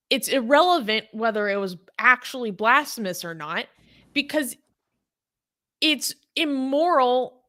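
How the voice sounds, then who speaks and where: Animated woman with a bright voice. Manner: animated, rises in pitch when outraged; chatty with many fillers. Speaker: co-host reading news. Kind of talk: casual news discussion.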